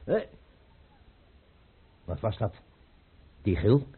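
Give the part of a frightened, unstable monarch in a vintage radio drama. He speaks slowly and very huskily, leaning on his confidant like a drowsy child. The sound is narrow and muffled, like a telephone. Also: on the low side, expressive, slightly rough, full character voice. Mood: alarmed. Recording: muffled, phone-like old radio play recording.

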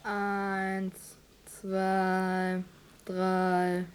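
An actress playing a forlorn child in a German radio drama, speaking in a solemn chant. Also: female voice, mid-range voice, monotone, very slow, smooth. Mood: solemn, resigned.

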